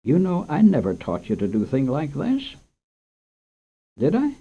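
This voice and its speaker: A man's voice: a little high, huge pitch swings, normal speed, gravelly, warm tone, husky, pitched above the performer's own voice, full character voice. A man playing a strict rural mother in a storybook, firm and moral as she scolds her son, her voice raised somewhat above a normal reading voice. The sound is a dull, lo-fi old radio recording. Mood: questioning.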